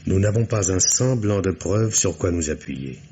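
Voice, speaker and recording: An actor playing a shrewd, patient senior police commissioner in a French radio mystery, questioning in a measured, wry way. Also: male voice, low voice, animated, mellow. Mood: resigned, ironic. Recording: dull, noisy old radio recording.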